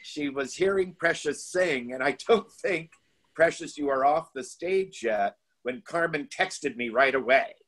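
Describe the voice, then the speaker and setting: A man's voice: on the high side, measured pace, fairly bright tone. A middle-aged man hosting a virtual award event from a home study, friendly and easygoing, speaking into a laptop mic. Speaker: event host. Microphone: laptop video call.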